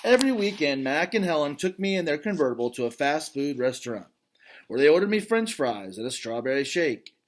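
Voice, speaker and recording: A father reads a children's book aloud in a lively voice that swings up and down in pitch. He stops now and then to talk straight to his listeners about the story, then goes back to reading. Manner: expressive reading, breaks off to comment directly to listeners. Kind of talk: reading a children's novel aloud with chatty asides.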